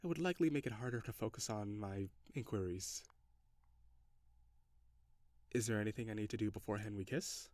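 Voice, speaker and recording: A man's voice: on the low side, fast talker, a little mellow, dry recording, lightly acted. A man playing a curious, reserved alien in an intimate audio roleplay, speaking in stiff, formal, precise phrasing. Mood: polite, curious. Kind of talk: intimate scripted audio roleplay addressed to the listener.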